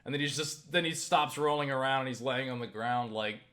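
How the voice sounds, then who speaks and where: A man rambling through a casual vlog monologue, halting and circling back on himself, with casual swearing. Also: mid-range voice, fairly bright tone. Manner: halting, self-interrupting, circling back with repeated "you know"; casually profane. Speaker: YouTube vlogger.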